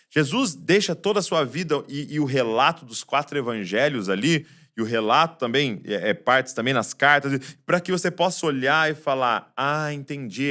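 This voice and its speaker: A man preaching a Christmas sermon, shifting between soft, low passages and loud, raised ones, full of rhetorical questions.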